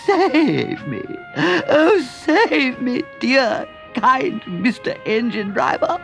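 A man voicing a boastful male toad who fakes being a weepy washerwoman, wailing in a sobbing falsetto. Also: very animated, extremely slow, vocal fry, a bit bright, soft background music, heavily acted. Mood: desperate begging.

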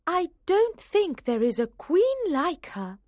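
A curious young storybook girl, high and lilting. She speaks slowly and politely but says what she thinks, in a dry recording. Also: very animated, darkish tone, no room sound, full character voice. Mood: puzzled. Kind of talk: storybook character voice for learners.

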